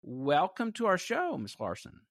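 A man's voice: sits high, huge pitch swings, measured pace, vocal fry, no echo, clean studio sound. A man hosting an interview program, reading scripted introductions like an announcer and asking his guest questions. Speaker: talk show host.

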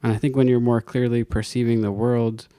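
A man's voice: mid-range voice, little pitch movement, a little fast, warm tone, clean tone, dry recording, studio quality. A middle-aged man, a singer and guitarist, talking thoughtfully about his song in a casual interview.

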